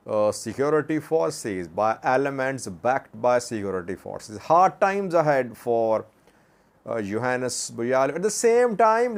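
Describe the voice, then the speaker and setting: A man giving unscripted news commentary as a channel host, speaking haltingly with many filler sounds and posing rhetorical questions. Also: medium pitch. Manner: halting, with long hesitations and frequent filler sounds.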